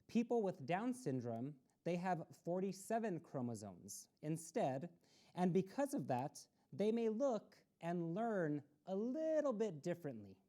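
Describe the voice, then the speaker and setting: A woman's voice: fairly low pitch, dark tone. A woman hosting a children's story time, reading aloud and explaining things simply, posing questions to young listeners.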